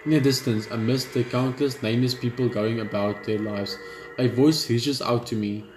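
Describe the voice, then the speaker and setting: A man's voice: mid-range voice, moderate pace, soft background music. Man reading game text in a roomy room. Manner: steady narrating read with blunt side remarks. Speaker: gamer. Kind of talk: reading a visual novel aloud.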